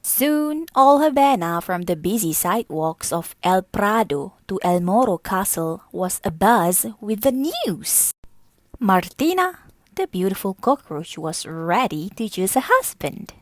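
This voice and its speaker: A woman narrates a children's story at a slow, measured pace. Her pitch is medium and the sound is dry, with very little room in it. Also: sing-song, no echo. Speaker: storybook reader.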